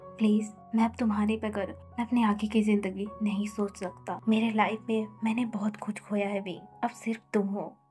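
A doting rich young husband pleads in a soft, hushed voice, as a woman storyteller voices him. The voice sits in a middle register. Faint music plays behind. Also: mid-range voice, normal speed, lightly acted. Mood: pleading.

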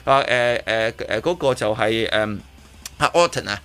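A man's voice: fairly low pitch, lively intonation, a little slow, bright, forceful tone, clean tone, faint music behind. A man with a Hong Kong Cantonese accent hosting casual radio chat, opinionated and emphatic, often repeating words. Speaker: radio show host.

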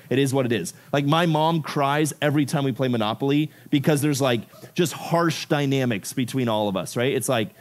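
A man from Ecuador giving a youth sermon to a room of about fifty teens. He talks casually and keeps dropping in "like" and "right?". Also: medium pitch. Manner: casual, animated, filler-heavy with frequent "like" and "right?". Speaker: youth pastor.

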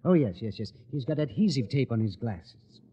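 An actor playing a friendly, chatty train porter in an old radio comedy, obliging in manner. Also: male voice, mid-range voice, expressive, normal speed, breathy edge. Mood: helpful. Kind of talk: 1950s radio comedy acting. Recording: muffled, noisy old radio, long reverb.

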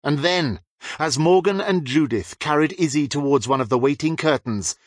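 A man's voice: fairly high pitch, normal speed, dry recording. A man reading a dramatic scripted narration as an audiobook narrator.

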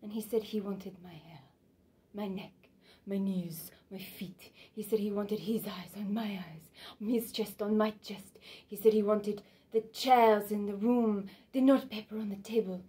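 A woman's voice: medium pitch, steady tone, measured pace, dark tone, natural voice. Woman acting a frank, sensual young woman. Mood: frank, intense. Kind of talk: theatrical stage monologue.

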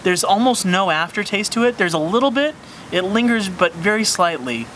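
A young man with a slightly high, bright voice talks through a beer tasting on a sunny patio. He is enthusiastic and descriptive, often repeating words as he searches for them. Faint outdoor sound sits behind him. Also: animated, moderate pace, slight background noise, low-quality recording. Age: young adult. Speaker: beer review host. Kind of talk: casual beer tasting review.

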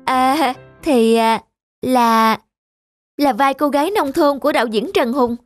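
A woman voicing a kind, modest young woman student actress in an animated series, speaking gently and hesitantly. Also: high-pitched, unhurried. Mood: shy. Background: background music.

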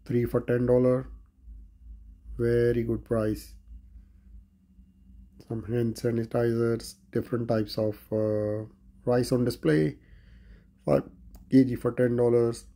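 A man's voice: medium pitch, flat delivery, slow pace, pauses often, dark tone. A man vlogging a walk through a large grocery store, plainly listing produce and prices. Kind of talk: grocery store walkthrough narration.

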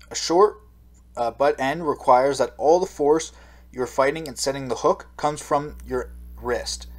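Man, instructor, slideshow voice-over, steady explaining, mid pitch, occasional fillers. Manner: explanatory, reads steadily with occasional ums and uhs. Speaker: fishing instructor. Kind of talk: slideshow lesson narration.

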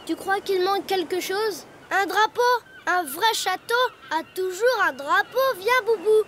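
A performer voicing a cheerful, eager little boy donkey in a children's cartoon, childlike and expressive. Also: very high-pitched, measured pace, a little hiss or hum, full character voice. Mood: proud, excited.

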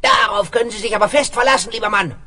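An actor voicing a small, take-charge cartoon mouse in a children's radio play. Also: male voice, high voice, fast, shouting, lightly acted. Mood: brisk, excited. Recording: noisy old vinyl audio play.

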